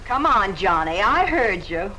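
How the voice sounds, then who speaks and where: A woman's voice: medium pitch, big pitch swings, measured pace, bright, forceful tone, slight background noise, rough recording. An actress playing a brassy, scornful young American woman, with a slangy, drawling, mocking delivery in mid-century film melodrama style. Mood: lovestruck, dismissive.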